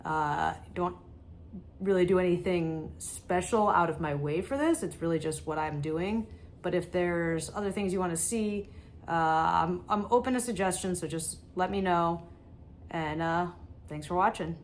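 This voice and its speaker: A woman's voice: lowish voice, a little slow, a little hiss or hum. A woman in her thirties, talking casually to her phone camera in a selfie vlog, her voice a little worn, with many fillers. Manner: relaxed, chatty, frequent filler words. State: slightly losing her voice. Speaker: vlogger. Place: hotel rooms and casino lobby, indoors. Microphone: phone camera at arm's length.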